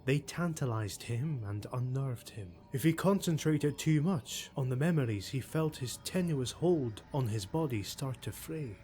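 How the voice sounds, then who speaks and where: A man narrating dark fantasy prose as an audiobook reader, measured and dramatic. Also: medium pitch, expressive.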